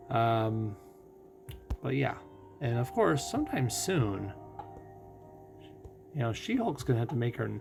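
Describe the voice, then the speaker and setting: A man giving casual game commentary as host of a playthrough, thinking out loud and putting questions to himself. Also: medium pitch, gravelly. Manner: thinks out loud, asking and answering own questions. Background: music.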